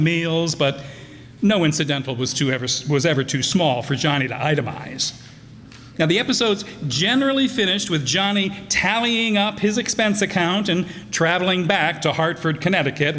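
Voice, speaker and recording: A man hosts a live radio drama evening in a reverberant hall. His voice is slightly high, and he introduces the show casually, reading from notes. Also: a bit bright. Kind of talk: informal spoken introduction to a live audience. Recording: echoey hall, live stage.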